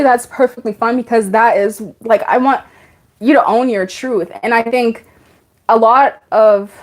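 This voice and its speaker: A woman's voice: medium pitch, darkish tone. Woman, mid-twenties, casual live stream host, chatty, frequent laughter, reading viewer comments.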